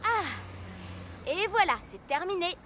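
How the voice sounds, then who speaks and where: Little cartoon girl, very high and squeaky, careful and a bit worried. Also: expressive, a little slow, heavy background noise. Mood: cautious. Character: little girl, cautious and polite.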